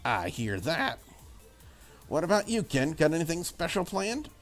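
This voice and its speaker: A man's voice: fairly high pitch, sing-song, measured pace, faint music behind. A man voicing a confident, bossy young woman in a visual novel, talking in a blunt and breezy way. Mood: breezy, encouraging. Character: brash, confident young woman, breakfast cook.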